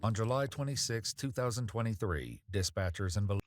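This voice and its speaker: Deep-voiced man narrating true crime. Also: expressive, fairly quick, no echo, studio quality. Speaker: narrator of a police footage report.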